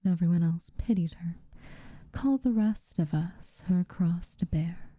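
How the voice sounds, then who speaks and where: A woman voicing a guarded, haughty yet secretly tender witch in an ASMR roleplay, softly and breathily, with slow, formal phrasing. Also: fairly low pitch, dry recording. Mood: grim, measured. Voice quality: soft, breathy, often near-whispered. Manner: slow, formal, measured wording with reluctant warmth.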